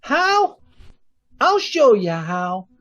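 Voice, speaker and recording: Man playing a cocky fur thief, American, slangy tough talk, high swooping voice, slow delivery, old radio crime drama, dull lo-fi sound. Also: wildly swooping pitch, bright, forceful tone, exaggerated voice. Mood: cocky, brusque. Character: cocky small-time male fur thief, brusque sweetheart. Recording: dull, lo-fi video-call sound.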